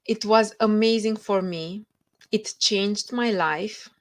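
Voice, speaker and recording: A woman's voice: medium pitch, takes it slowly. A woman with a Romanian accent, a guest author telling her story with earnest feeling in a podcast interview over a video call.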